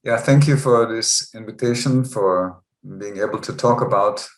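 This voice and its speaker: A man in his sixties, a guest on an interview, telling his life story in an earnest, measured way. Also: fairly low pitch. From Germany. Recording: roomy echo.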